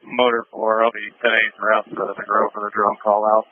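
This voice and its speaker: A man's voice: fairly low pitch, completely flat delivery, a little fast, a little hiss or hum. A man on a police two-way radio, speaking in clipped procedural messages. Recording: phone-like narrow-band police radio audio.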